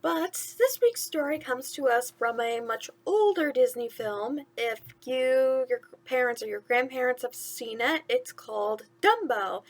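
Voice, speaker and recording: A woman hosting bedtime stories, speaking simply and encouragingly to young listeners. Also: a little high. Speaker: host of a bedtime story series. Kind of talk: storytelling to children.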